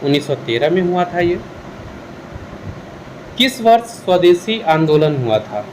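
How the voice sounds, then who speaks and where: Male Indian teacher, slightly high voice, instructional question-and-answer delivery for exam revision, faint hum behind. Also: big pitch swings, measured pace. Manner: instructional, poses questions then answers them. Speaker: history teacher.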